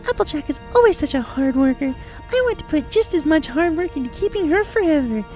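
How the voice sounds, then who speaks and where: A woman voicing a manic, girlish cartoon pony killer in a dramatic story reading, sugary, theatrical and taunting, with sweet menace. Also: very high voice. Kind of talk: dramatic fan fiction reading in character. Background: music.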